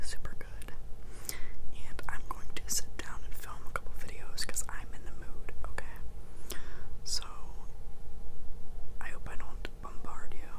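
A young man speaks entirely in a whisper, up close to the microphone. He rambles casually in an ASMR style, like a host chatting to viewers from a small room. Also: low-quality recording. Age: 20s. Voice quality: whispered, unvoiced. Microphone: close mic, face near the camera.

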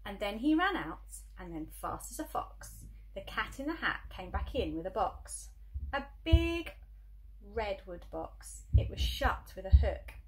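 A woman reading a children's storybook aloud like a teacher, expressive and emphatic on the rhyming words. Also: medium pitch, a little slow, rough recording.

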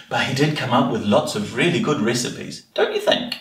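A middle-aged man presenting a children's storytime with exaggerated, animated expression in a small room. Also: medium pitch. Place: small indoor room with bookshelf and toys. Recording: roomy, slightly distant home recording.

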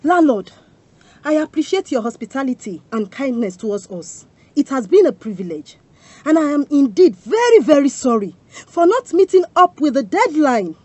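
A woman's voice: high voice, big pitch swings, normal speed, a little mellow. An actress with a Yoruba Nigerian accent playing an emotional widowed mother who pleads and wails in a radio drama. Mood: pleading.

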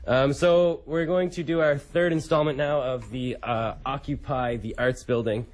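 A man's voice: mid-range voice, fairly even intonation, normal speed. A young adult man from Canada speaking as a radio show host in a studio. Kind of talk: casual radio talk and dramatic reading.

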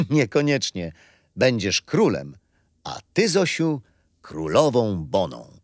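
An actor playing a kindly, patient grandfather who explains history with gentle amusement in a children's radio play. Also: mid-range voice, wildly swooping pitch, slow, full character voice. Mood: warm, teaching.

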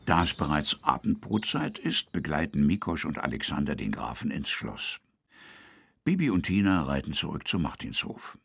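A man's voice: extremely low pitch, vocal fry, slightly rough, dry recording, clean studio sound, exaggerated voice. A man narrating a children's audio drama as a storyteller, reading from a script.

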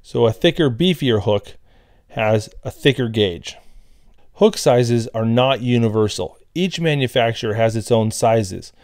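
A man giving a scripted voice-over tutorial on fishing gear, explaining in a plain, steady way. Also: medium pitch, unhurried, dry recording, clean studio sound. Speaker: fishing instructor.

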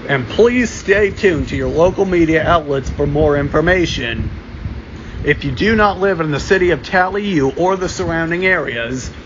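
Male announcer for homemade emergency alerts, formal, slightly high voice, loud background hum. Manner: formal, deliberate, stumbles and repeats phrases. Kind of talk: amateur mock emergency broadcast announcement. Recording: dull lo-fi sound with loud ambient hum.

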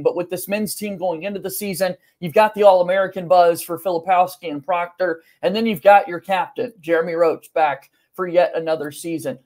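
High-pitched male sports podcast host. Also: darkish tone. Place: home room indoors, video call.

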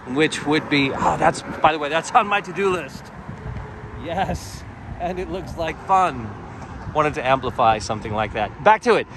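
A man narrating a walking tour in a chatty, digressive way, recorded outdoors on a camera mic while he walks. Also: on the high side. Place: outdoors on a bridge footpath by the sea. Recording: outdoor street noise. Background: traffic and wind noise.

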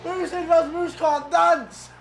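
A young man giving excitable commentary on his own football video game play. He mutters between moves and bursts into loud shouts at goals. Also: extremely high pitch, slow pace. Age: young adult. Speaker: gaming content creator. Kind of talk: live gameplay commentary. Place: home gaming room indoors. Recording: roomy echo, faint game music behind.

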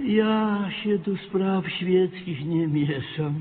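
An older man playing a stern, shrewd parish priest in a radio comedy, measured and admonishing. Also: sits high. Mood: stern, dry. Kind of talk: radio comedy drama acting. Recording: reverberant hall, dull lo-fi sound.

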